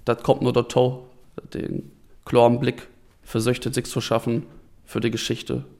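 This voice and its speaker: A man's voice: mid-range voice, little pitch movement, unhurried, vocal fry, a little mellow. A man with a Northern German accent giving a spontaneous interview account as a researcher. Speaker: university project researcher.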